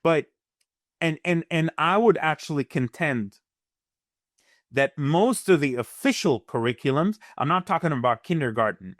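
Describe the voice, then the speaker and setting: A man's voice: on the high side, animated, moderate pace, no echo, studio quality. A man from Gaza whose first language is Arabic, speaking earnestly as a guest analyst in an interview, often repeating words as he starts a sentence.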